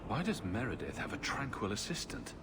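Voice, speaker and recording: An actor playing a bold, defiant champion adventurer in a video game, direct and dry. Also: male voice, fairly low pitch, huge pitch swings, fairly quick, gravelly, noisy background, heavily acted. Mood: questioning.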